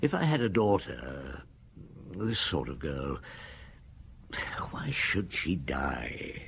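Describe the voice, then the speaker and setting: An actor playing a brooding middle-aged police chief inspector with a husky voice in a radio drama, weary and reflective. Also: male voice, a bass voice, very animated, slow, a bit bright, a little hiss or hum, heavily acted. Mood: sorrowful, subdued. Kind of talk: radio drama dialogue and narration.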